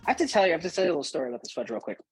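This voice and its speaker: Husky man hosting a coaching show. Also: fairly high pitch, huge pitch swings, racing, faint music behind. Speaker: host, business coach. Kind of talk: personal storytelling to listeners.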